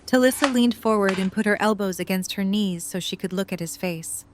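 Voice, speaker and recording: Mid-pitched woman narrating a story. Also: brisk, background noise.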